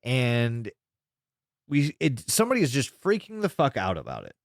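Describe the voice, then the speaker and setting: Man, mid-pitched voice, very animated, casual podcast storytelling, rambling, sweary. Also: moderate pace, dry recording, clean studio sound. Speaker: podcast co-host. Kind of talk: casual podcast recap, retelling a video's story.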